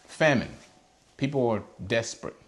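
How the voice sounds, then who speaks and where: A man's voice: medium pitch, moderate pace. A man from Haiti hosting his own channel, talking earnestly and passionately to camera and asking pointed rhetorical questions. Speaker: host. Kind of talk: earnest personal monologue to camera.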